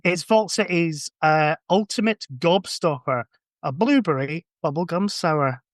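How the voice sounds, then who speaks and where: A man's voice: on the high side, a little slow, dry recording, clean studio sound. A man chatting casually as a podcast guest, giving rambling, conversational explanations. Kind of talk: casual chat.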